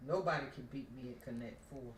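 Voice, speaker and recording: Very deep-voiced older Southern woman. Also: quick pace, slight background noise. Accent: rural Southern US. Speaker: grandmother joining a livestream. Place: in the background of a home livestream.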